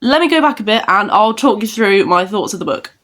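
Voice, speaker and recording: A woman's voice: medium pitch, expressive, brisk, a bit bright, no room sound. A woman with a congested, bunged-up nose, chatting enthusiastically in a casual book vlog. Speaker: book vlogger.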